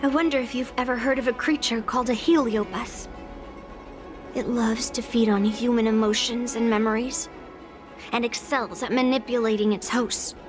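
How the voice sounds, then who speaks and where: High voice, actress doing a stubborn young swordswoman, blunt and determined, loud music behind. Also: fairly even intonation, normal speed, lightly acted. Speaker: game character. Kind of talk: dubbed game cutscene dialogue. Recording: game audio over loud music.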